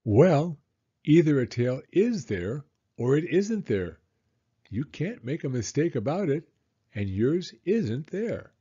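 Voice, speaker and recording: A man's voice: a little high, sing-song, slow, warm tone, breathy edge, lo-fi sound, full character voice. A man voicing a friendly, earnest toy bear from a children's storybook, with a lilting delivery. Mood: concerned, surprised.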